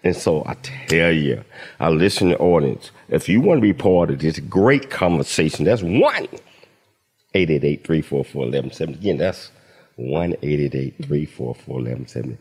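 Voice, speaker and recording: Male talk show host, deep and mellow, eager and rambling. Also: animated, moderate pace, slightly rough. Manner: enthusiastic, rambling, many restarts. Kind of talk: casual radio talk show hosting.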